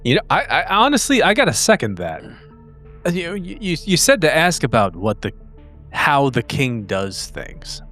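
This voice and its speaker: A man playing a tabletop roleplaying game, speaking casually in a halting, wordy, erudite way. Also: fairly high pitch, big pitch swings, fairly bright tone. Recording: music bed behind the voice.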